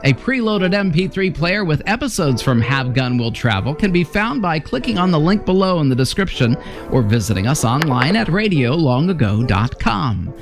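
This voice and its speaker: A male host reads scripted narration in a slightly high, animated voice. Clear background music plays under him. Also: moderate pace.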